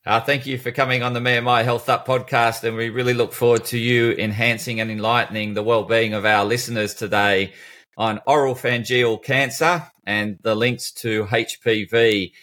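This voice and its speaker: A man's voice: mid-range voice, steady tone, moderate pace, bright, forceful tone. A man hosting a podcast, introducing and questioning a guest in a wordy, meandering way. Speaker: podcast host.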